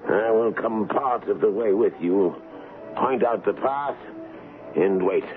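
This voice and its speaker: Wary old colonel, deep, unhurried, deferential, vintage radio drama through a muffled broadcast. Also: male voice, music in the background. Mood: uneasy, loyal. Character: cautious, loyal older colonel advising a royal impostor. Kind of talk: 1970s radio drama acting.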